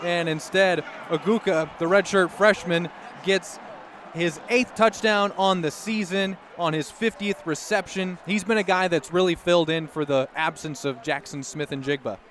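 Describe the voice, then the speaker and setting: A man's voice: sits high, normal speed, a bit bright. A man giving live football analysis as color commentator in a conversational, explanatory way. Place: outdoor stadium broadcast position. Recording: faint outdoor stadium noise.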